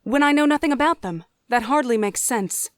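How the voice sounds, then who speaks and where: High-voiced young druid woman, played by an actress, eager and oblivious, fantasy audio drama, dry close sound. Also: animated, fairly quick, dry recording. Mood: defensive.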